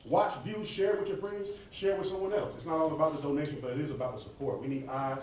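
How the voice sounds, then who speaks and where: A man's voice: on the high side, fairly even intonation, fast talker, a little mellow, dull audio. Man, 30s, rapper, informal talk, emphatic repetition, echoey room, off-mic. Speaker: rapper and stage performer answering audience questions. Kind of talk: informal question-and-answer talk to students. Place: roomy indoor campus event space. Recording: echoey room, distant mic, faint noise.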